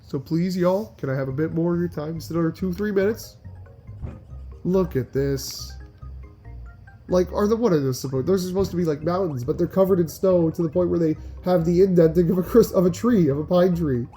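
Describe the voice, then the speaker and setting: A man's voice: sits high, brisk, mellow. Chatty young man over game music. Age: young adult. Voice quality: slightly nasal. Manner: rambling, chatty, frequent restarts and filler likes. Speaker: gaming channel host. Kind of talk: casual gameplay commentary.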